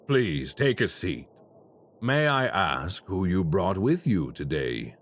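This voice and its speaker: An actor playing a polite, quietly menacing male crime boss in an audio roleplay. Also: low voice, very animated, a little hiss or hum, full character voice. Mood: smooth menace. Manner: slow, courteous and quietly menacing.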